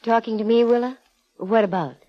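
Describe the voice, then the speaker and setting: A woman's voice: a little high, a little fast, natural voice. An American actress playing a breezy, wisecracking female private investigator in a 1950s radio drama, with a wry delivery. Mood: curious, wry. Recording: dull vintage radio recording.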